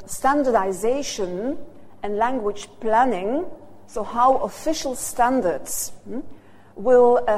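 A woman's voice: mid-range voice, a little mellow. A Belgian woman, a native Dutch speaker with a Flemish accent, lecturing in English and checking in with her audience through short "hmm?" tags. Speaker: university lecturer. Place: lecture hall. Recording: hall reverb.

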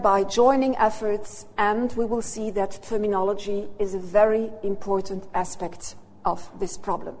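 A woman's voice: mid-range voice, darkish tone. Flemish woman lecturer, English as third language, asks "hmm?" of the room, long hall reverb. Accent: Flemish Dutch. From Belgium. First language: Dutch. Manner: checks in with the audience through short "hmm?" and "yeah?" tags. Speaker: university lecturer.

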